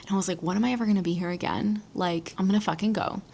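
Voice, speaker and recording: A woman's voice: mid-range voice, fairly even intonation, rapid-fire, gravelly, darkish tone. Chatty, candid American woman storyteller. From New Jersey, United States. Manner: chatty, candid, swears casually. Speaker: podcast host. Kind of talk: casual personal storytelling, solo podcast.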